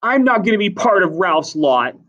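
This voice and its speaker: A defiant young boy, played by a man reading a storybook, pitched higher and forceful in his declarations. He sounds bitter and wounded, recorded a little dully and away from the mic. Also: animated, moderate pace, far from the mic, muffled recording. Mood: hurt, defiant. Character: defiant, bitter, tearful boy hunter challenging the chief.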